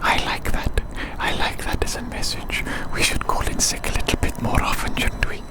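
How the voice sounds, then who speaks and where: Whispering ASMR creator out walking. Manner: soothing, slow repetition of phrases. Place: outdoors on a city walkway.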